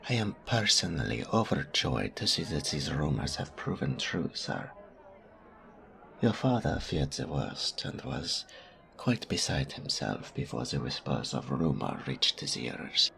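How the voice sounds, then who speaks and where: Man playing a pompous, deep-voiced lordly servant. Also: vocal fry, a bit bright, heavily acted. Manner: formal, pompous, over-polite. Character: stuffy, haughty male agent of a baron. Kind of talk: audio roleplay drama acting.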